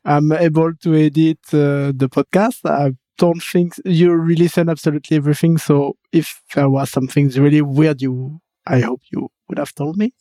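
A man's voice: fairly high pitch, level tone, normal speed, few pauses, mellow, no echo. A man with a French accent, French his first language, asking questions in casual English with frequent laughs and hesitant fillers. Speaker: podcast editor and co-host. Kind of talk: casual interview.

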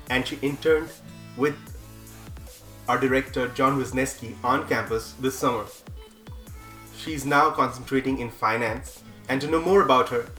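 A woman's voice: extremely low pitch, a bit bright, echoey room. A woman hosting a student podcast, introducing her guest and asking friendly questions, laughing along. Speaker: podcast host. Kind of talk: casual interview.

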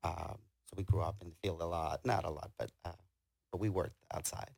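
A middle-aged man with a Guatemalan accent, talking casually and haltingly as an interview guest, telling stories about himself. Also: low-pitched, steady tone, gravelly, a little mellow, no echo, clean studio sound. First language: Spanish. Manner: halting, hesitant delivery. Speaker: interview guest, tailor and business owner. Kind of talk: casual conversational storytelling.

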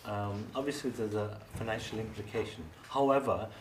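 A man with a fairly low voice hosts a community podcast, restating his guest's points and adding quick agreements. He sits away from the mic, so his voice sounds distant. The room is roomy and echoey, with faint noise underneath. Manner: frequent short backchannels, summarizing the guest. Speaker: podcast host. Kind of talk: conversational interview.

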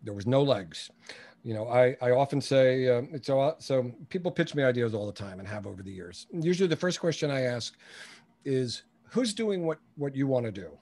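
A man's voice: mid-range voice, animated, a little fast, a little mellow. A man in his early sixties with a mid-range voice speaks as a guest in a conversational interview. He is blunt and opinionated, driving points home with emphatic, colloquial phrasing and storytelling. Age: early 60s. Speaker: interview guest, business coach. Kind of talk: conversational interview with anecdotes and advice.

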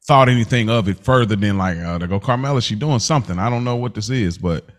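A man's voice: lowish voice, fast talker. A man co-hosting a TV recap podcast in casual, loose, slangy conversation. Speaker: podcast co-host.

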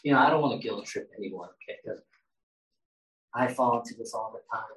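A man's voice: mid-range voice, brisk, fairly bright tone. A man preaching a sermon in a conversational way, asking his congregation questions as he goes. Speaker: pastor. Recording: roomy echo, mic at a distance.

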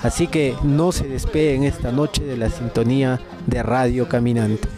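A man's voice: mid-range voice, steady tone, normal speed. A man with a Peruvian accent previewing a radio programme from a script as a host. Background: music bed.

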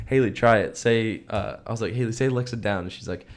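A young man with a slightly low voice co-hosts a podcast. He tells stories casually and slangily, acting out the people in them in an animated way. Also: fast talker. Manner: animated slangy storyteller, acts out quotes. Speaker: podcast co-host. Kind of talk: casual chat, storytelling.

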